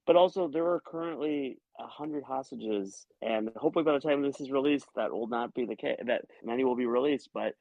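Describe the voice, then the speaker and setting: Fast-rambling man on a dull dry mic. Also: medium pitch, no echo. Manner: animated, rambling, self-interrupting. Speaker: comedian guest. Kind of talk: casual podcast conversation. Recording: dry muffled lo-fi sound.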